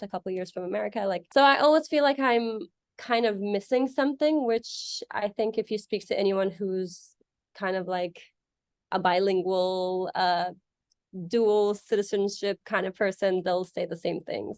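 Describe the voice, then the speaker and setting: A woman's voice: mid-range voice, normal speed, no room sound, studio quality. A young woman from Egypt answering interview questions in a casual, chatty way, with many fillers and restarts. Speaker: guest.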